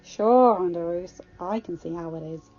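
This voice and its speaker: A woman's voice: on the low side, normal speed, a little mellow, soft background music, lightly acted. Lady reading as a jovial dad type guy, teasing and a bit theatrical, storybook read, muffled audio. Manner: teasing, mock-offended. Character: jovial, teasing, theatrical grown man, fatherly.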